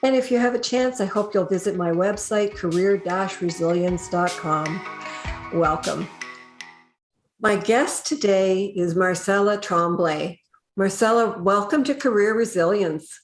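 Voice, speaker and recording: A woman hosting a podcast interview, speaking in a friendly, measured way with small hesitations. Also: medium pitch, echoey room, faint music behind. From Canada. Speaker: podcast host, HR consultant.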